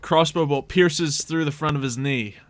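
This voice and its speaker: A man's voice: on the high side, moderate pace. A man acting as game master, casually improvising narration and rulings for a tabletop roleplaying game.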